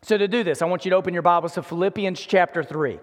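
A male preacher in his forties speaks in a notably high register. His delivery is conversational yet emphatic, circling back over phrases to give them weight. Long reverb from a large hall trails his words. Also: fast talker. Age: 40s. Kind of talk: conversational sermon. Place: large indoor room before an in-person audience.

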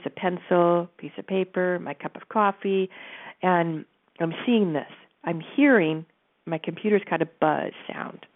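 A woman with a stuffy nose, calmly guiding listeners through an exercise from her office and speaking directly to them. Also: lowish voice. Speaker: host and coach. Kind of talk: guided teaching talk. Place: home office. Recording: narrow phone-like line.

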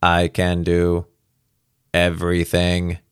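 A man voicing an old professor's disembodied mind speaking through a ship, flat, faint and faltering in slow fragments. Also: sits low, clean tone, dry recording, studio quality. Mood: detached, commanding. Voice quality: toneless, faint, mechanical.

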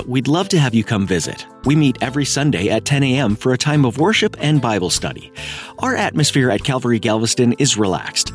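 A man reading a scripted outro announcement for a ministry program, inviting and friendly in tone. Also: mid-range voice. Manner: warm, inviting, read from script.

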